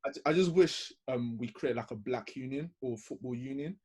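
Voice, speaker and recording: A man on a video-call panel, laying out his points one by one in a measured way. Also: mid-range voice, a little mellow, slightly rough. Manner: measured, lays out points in order. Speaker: panel guest. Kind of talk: reasoned panel discussion.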